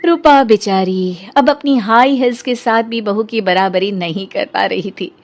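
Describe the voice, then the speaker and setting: A woman's voice: mid-range voice, a little slow. A woman narrating a scripted children's story.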